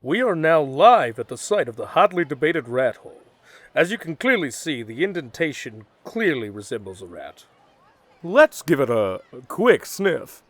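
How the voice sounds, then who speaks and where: A man's voice: a little high, big pitch swings, moderate pace, dry recording. A man playing an eager on-location news reporter in an audio drama parody. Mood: keen, curious.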